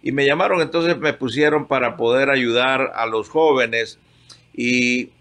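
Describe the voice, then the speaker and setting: An older man from Honduras, a pastor, telling his calling in a heartfelt, halting way, moved by the memories. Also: medium pitch, fairly even intonation, a bit bright. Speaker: pastor guest. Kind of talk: emotional personal testimony.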